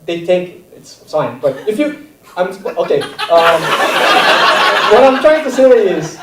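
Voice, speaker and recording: A man with a non-native English accent doing a stand-up set, self-deprecating and halting, laughing at his own jokes. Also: high-pitched, big pitch swings, very noisy. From Brazil. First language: not English. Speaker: stand-up comedian. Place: comedy theater stage. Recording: roomy live theater, mic at a distance.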